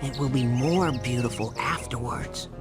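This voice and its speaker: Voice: medium pitch, a little slow, natural voice. A performer voicing an odd, secretive boy in a cartoon video game, theatrical and cheerfully sinister. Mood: ominous. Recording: game music behind.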